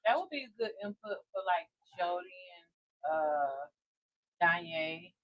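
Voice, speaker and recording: A woman's voice: lowish voice, lively intonation, measured pace, no echo, highs cut off. A woman co-hosting a podcast with her husband, chatting casually and chiming in with short agreeing replies. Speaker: podcast co-host. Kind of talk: casual chat with listeners.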